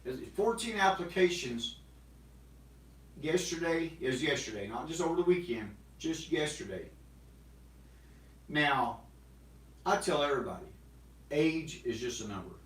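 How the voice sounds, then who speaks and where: A man in his forties hosting a casual, energetic sales talk to camera, rambling with restarts. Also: a little high, a little slow, pauses often, reverberant, far from the mic. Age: 40s. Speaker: host. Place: small studio room with banners and props.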